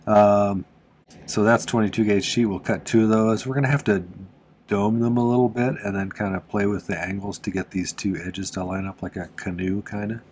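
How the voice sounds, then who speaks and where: A man with a slightly nasal, congested voice giving a relaxed, chatty how-to lesson on a craft in his workshop, with plenty of "uh" fillers. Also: on the low side, normal speed, slight background noise. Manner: relaxed and chatty, with frequent "uh" fillers and small laughs. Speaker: silversmith teaching on his channel. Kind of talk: casual how-to narration.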